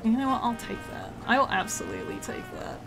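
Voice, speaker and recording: A woman's voice: on the high side, wildly swooping pitch, a little fast, creaky. Woman, game dev streamer, casual and chatty, voice a bit worn, music under her. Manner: chatty, with many ums and laughs. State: slightly losing her voice. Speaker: game developer streaming gameplay. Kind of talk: casual live-stream chat. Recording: music playing behind.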